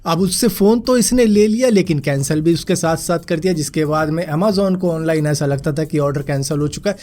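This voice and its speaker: A young man with a slightly high voice that is roughened and hoarse from a recent fever. He runs through news items in a chatty, friendly way, talking straight to his viewers as friends. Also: a little fast, a little mellow. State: hoarse throat after fever. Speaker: news vlogger. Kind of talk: casual Hindi news roundup.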